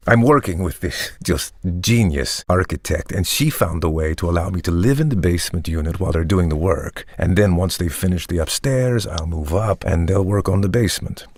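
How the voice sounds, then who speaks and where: An actor playing a self-satisfied, polished tech entrepreneur in an audio comedy play, talking in a measured, self-assured way with a low, husky voice. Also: male voice, expressive, no room sound, studio quality, exaggerated voice.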